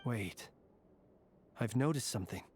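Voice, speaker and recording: An actor playing a calm, observant young investigator in a video game, speaking in a measured, analytical way. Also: male voice, medium pitch, natural voice. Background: faint game music.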